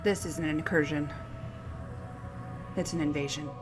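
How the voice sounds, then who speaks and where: A woman's voice: sits low, fairly even intonation, moderate pace, over music, lightly acted. A woman playing a shaken female marine sergeant in an audio drama. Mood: grim alarm.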